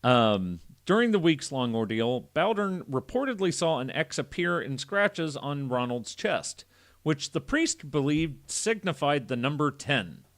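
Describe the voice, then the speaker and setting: A man's voice: fairly high pitch, sing-song, unhurried. A man hosting a casual podcast, reading a story aloud with irreverent, foul-mouthed joking asides. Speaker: podcast host.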